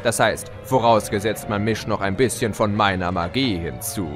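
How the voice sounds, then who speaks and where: A man's voice: on the low side, normal speed, natural voice. A man playing a gloating, mischievous chaos spirit who tells of his schemes in a theatrical, teasing way in an audio drama. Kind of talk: German fan audio drama, storytelling. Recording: music behind the voice.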